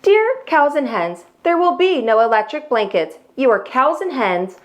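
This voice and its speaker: A woman's voice: high-pitched, sing-song, normal speed, highs cut off. A woman voicing an indignant, exasperated farmer in a read-aloud storybook, exclaiming and making stern demands.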